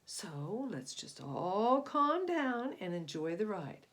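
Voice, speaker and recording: Woman as male sea captain, children's storybook, steady, commanding, emphatic, reasoning aloud. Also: mid-range voice, takes it slowly. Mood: calming, reasoning.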